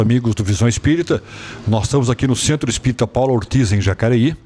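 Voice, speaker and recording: A man's voice: medium pitch, mellow. A man hosting a spiritist TV program, welcoming a guest formally and asking questions with small hesitations. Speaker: program host interviewer. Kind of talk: TV interview.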